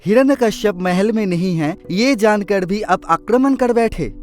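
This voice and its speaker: A man playing a gentle, devout divine sage in a cartoon, with measured, instructive delivery and a chanting way of talking. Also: sits high. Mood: firm reproach. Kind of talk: cartoon mythological dialogue. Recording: faint music behind.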